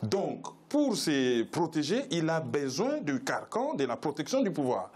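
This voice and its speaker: A man's voice: fairly high pitch, huge pitch swings, unhurried, a little mellow, slightly rough. A man arguing as a political panel guest in an emphatic, rhetorical way, driving points home with repetition. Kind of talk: political debate commentary.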